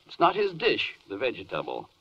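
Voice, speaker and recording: An actor playing a fussy, argumentative go-between listing objections in rhymed 1940s radio comedy. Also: male voice, medium pitch, huge pitch swings, a little fast, creaky, sharp tone, slightly rough, heavily acted. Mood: objecting. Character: fussy male interpreter relaying a client's objections. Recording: dull, band-limited 1940s radio recording.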